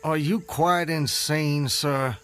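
An actor playing a proud, honor-bound Southern gentleman in a radio drama, with an American Southern accent and formal, florid speech. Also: a little high, steady tone, slow. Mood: indignant, impatient. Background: music underscore.

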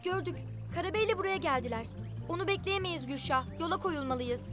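A woman's voice: very high voice, expressive, fairly quick, music in the background. A woman voicing a determined, earnest young child who leads friends in a cartoon adventure. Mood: earnest, decisive. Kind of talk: cartoon voice acting.

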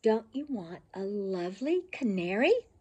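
A woman's voice: medium pitch, big pitch swings, unhurried, a little mellow, husky. A woman voicing a patient mother dog who coaxes and questions her pup. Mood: coaxing. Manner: coaxing, questioning.

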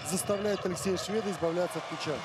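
A man giving expert color commentary on a live basketball game, analytical and halting, with frequent fillers. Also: high-pitched, steady tone, heavy background noise.

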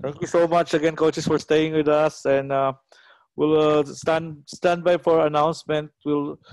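A middle-aged man with a Filipino accent, hosting casually on a video call in a halting, repetitive way. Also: on the high side, little pitch movement, a little mellow, clear, resonant tone, no room sound, poor audio. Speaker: online auction host. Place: small room at home, indoors. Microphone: headset on a video call.

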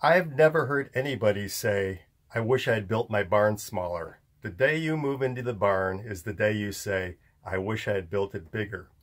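A man's voice: on the low side, moderate pace, hardly any pauses. An older man hosting a homestead vlog, explaining barn building in a plainspoken, folksy way on a lapel mic. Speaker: homestead channel host. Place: indoor room, later inside a metal pole barn.

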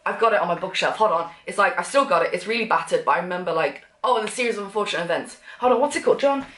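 A woman, chatting to camera in a casual, animated way, sounding a little blocked up from a cough. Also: medium pitch, racing, roomy sound. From England. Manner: chatty, animated, gestures while talking. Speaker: vlogger. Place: sunroom with sofa, indoors.

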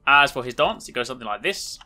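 A man's voice: mid-range voice, lively intonation, quick pace, pushed and bright, faint music behind. A man giving casual video game commentary as the host of a showcase video.

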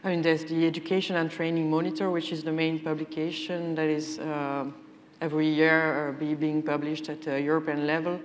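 Low, flat-voiced woman panelist. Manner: halting, many fillers and restarts. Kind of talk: conference panel answer. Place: large conference hall stage. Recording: reverberant hall, faint ambience.

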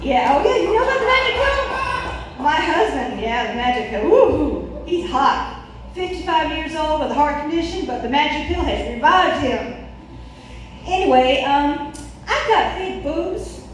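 A woman's voice: sits high, big pitch swings, unhurried. A middle-aged woman doing a brash, profane, opinionated stand-up routine on a small club stage with a handheld mic. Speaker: stand-up comedian. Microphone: handheld stage mic, recorded from the audience. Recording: very echoey room, distant mic, faint noise.

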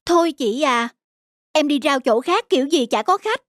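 A woman's voice: high voice, expressive, normal speed, fairly bright tone, dry recording, studio quality. A woman voicing a humble, honest young scrap collector with a polite, modest manner. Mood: modest, reluctant.

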